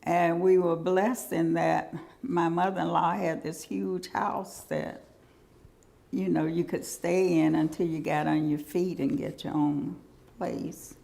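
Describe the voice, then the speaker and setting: Slow gravelly-voiced woman recalling her past. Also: lowish voice, level tone, warm tone. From Georgia, US South. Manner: slow, reflective storytelling. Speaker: oral history interviewee. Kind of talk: personal recollection.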